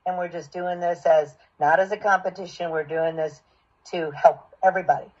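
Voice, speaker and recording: A woman voice acting coach with a husky voice, giving encouraging, explanatory direction and feedback. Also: lowish voice, fairly even intonation, moderate pace, darkish tone. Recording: dull, lo-fi sound.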